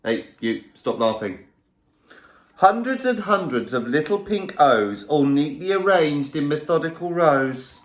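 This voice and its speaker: A male primary school teacher reading a rhyming picture book aloud to children in a playful, lively way. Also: a little high, unhurried, reverberant.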